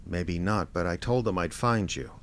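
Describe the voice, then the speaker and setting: Man acting small-time private investigator, American, low voice, wry, reasoning, persistent, audio drama, noisy ambience. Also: moderate pace, fairly bright tone. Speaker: lead radio drama actor. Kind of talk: audio drama dialogue and first-person detective narration.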